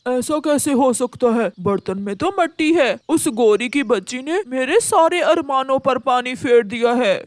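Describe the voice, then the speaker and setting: Woman as an animated, high-voiced cartoon male pigeon. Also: a little slow, few pauses, dry recording. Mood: indignant, vengeful. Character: hungry male pigeon, friendly but slyly vengeful. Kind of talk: Hindi moral-story cartoon acting.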